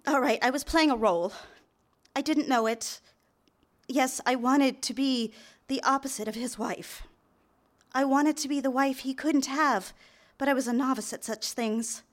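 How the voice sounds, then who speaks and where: A high-pitched young secretary in a live radio drama. She is eager and apologetic, hesitant and deferential with others, and intimate and confessional as a narrator. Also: unhurried. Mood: confessional, rueful. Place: live on stage in a theater.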